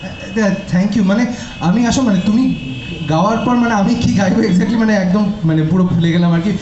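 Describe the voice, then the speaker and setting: A man's voice: high-pitched, dark tone, lightly acted. Man acting a earnest lovestruck college boy, echoey recording. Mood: flustered, nervous. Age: young adult. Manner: hesitant, halting, stammering when nervous. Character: lovestruck young male college student, earnest, nervous, touchy. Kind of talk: audio drama acting. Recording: dull echoey recording with background noise.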